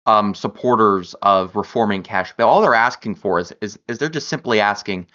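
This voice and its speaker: A man's voice: fairly low pitch, moderate pace, pushed and bright, lo-fi sound. A man co-hosting a news show, earnest and repeating words as he builds a point. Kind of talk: casual news commentary.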